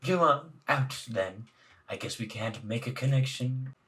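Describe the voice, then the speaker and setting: A man voicing a pushy, syrupy spider-woman shopkeeper from a visual novel, with drawn-out coy politeness. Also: mid-range voice, sharp tone, far from the mic, slight background noise. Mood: huffy. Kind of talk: hammy visual novel read-aloud.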